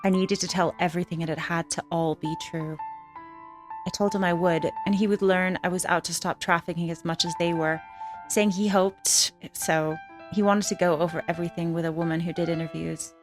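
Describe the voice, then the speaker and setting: A woman's voice: on the low side, fairly even intonation, brisk, natural voice. An actress playing a hard-boiled female ex-trafficker, narrating her own story in a frank, crude, wry way for a film. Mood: confiding, wry. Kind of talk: first-person film narration. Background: music.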